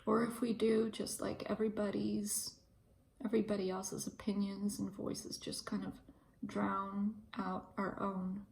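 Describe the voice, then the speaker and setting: Slow, gravelly-voiced reflective woman in her thirties. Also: mid-range voice, flat delivery, a little mellow, low-quality recording. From Ukraine. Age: 30s. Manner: thoughtful, hesitant, many pauses and fillers. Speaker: pharmacist and video host. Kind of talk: reflective casual monologue.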